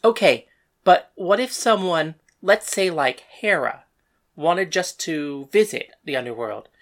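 Death god, narrating lead in audio drama: female actor, deep-pitched, brooding, bitter, defiant. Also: animated, measured pace. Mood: probing. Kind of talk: first-person dramatic narration and dialogue.

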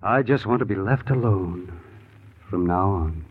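A man's voice: deep voice, expressive, breathy edge, faint music behind, heavily acted. Guarded, guilt-ridden radio drama man. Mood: guarded, terse. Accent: American. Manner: clipped, halting, guarded. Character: guilt-ridden, withdrawn, evasive man hiding a murder. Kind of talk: 1950s American radio drama acting. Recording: muffled tinny 1950s radio recording.

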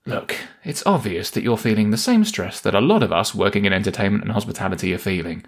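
A man's voice: fairly low pitch, expressive, fast talker. A man, hosting scripted satirical comedy in a defensive, exasperated manner. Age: 30s. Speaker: satirical news podcast host and writer.

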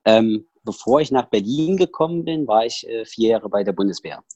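Low-voiced man with a Saxon accent. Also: expressive, normal speed, warm tone, no echo, highs cut off. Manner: rambling, many fillers and restarts. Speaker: podcast guest. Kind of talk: casual personal interview talk. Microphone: headset.

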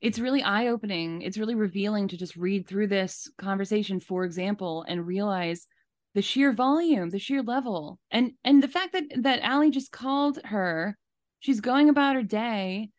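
A woman's voice: medium pitch, moderate pace. Emphatic, indignant woman podcast co-host. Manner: emphatic, indignant, repeats points for stress. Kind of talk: casual opinionated discussion.

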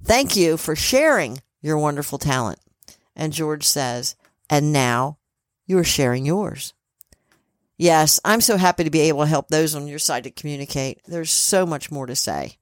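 A woman co-hosting a podcast, loud and chatty, moving between playful asides and reading from a script. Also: sits low, animated, unhurried, no room sound, studio quality. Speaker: podcast co-host and spirit communicator. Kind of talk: casual chat and reading from a script.

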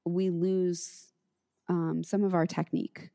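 A middle-aged woman teacher in casual conversation, speaking reflectively with frequent pauses and restarts. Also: fairly low pitch, little pitch movement, creaky, warm tone. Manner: reflective, halting with many restarts.

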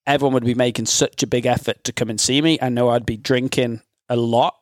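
A man's voice: mid-range voice, steady tone, fairly quick, dry recording, clean studio sound. A man hosting a podcast in an informal, bantering, chatty way. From North Wales, UK. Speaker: podcast host. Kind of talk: casual podcast chat.

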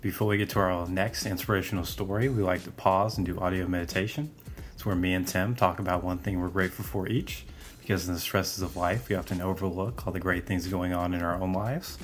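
A man hosting a podcast, energetic and promotional, moving the show along. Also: low-pitched, a little fast. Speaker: podcast host.

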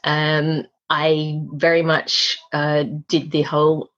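A woman's voice: deep voice, completely flat delivery, takes it slowly, a bit bright, highs cut off. A woman who grew up in Australia, a guest on an interview podcast, chatting casually with frequent laughs and many ums.

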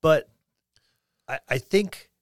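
A man's voice: slow, no room sound, clean studio sound. A man co-hosting a podcast, talking casually and conversationally about tabletop roleplaying. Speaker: podcast co-host.

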